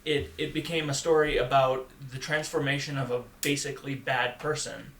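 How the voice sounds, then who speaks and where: A man speaking in a thoughtful, halting and measured way as a panelist in a conversational podcast discussion. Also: mid-range voice, steady tone, a bit bright. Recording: echoey room, faint background noise.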